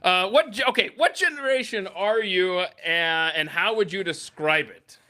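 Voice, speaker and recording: A man's voice: high voice, moderate pace, sharp tone. A man hosting a live stream in casual chat, teasing and steering the conversation. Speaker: podcast live stream host.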